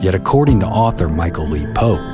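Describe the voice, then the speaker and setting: A man's voice: low-pitched, lively intonation, fairly quick, over music. A man hosting a podcast, reading scripted storytelling narration.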